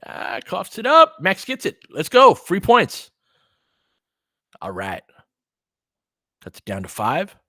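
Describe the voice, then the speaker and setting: A man's voice: high voice, huge pitch swings, slow, pauses often, bright, forceful tone, dry recording, studio quality. A man doing live basketball play-by-play in short clipped phrases, with casual, partisan asides like a fan commentator.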